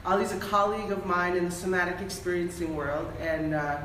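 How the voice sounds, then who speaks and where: A woman's voice: on the low side, level tone, a little fast, a bit bright. A woman from the US East Coast hosting a live interview stream, upbeat and bubbly with many ums. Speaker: podcast host. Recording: echoey room with light hiss.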